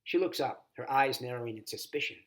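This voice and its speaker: A man's voice: mid-range voice, a little fast. A man reading a children's novel aloud expressively, as a volunteer story reader.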